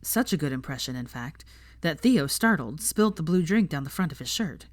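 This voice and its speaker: A woman's voice: sits low, expressive, brisk. A woman reading a story aloud as a narrator, in a scripted storytelling style. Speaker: audiobook narrator of fan fiction.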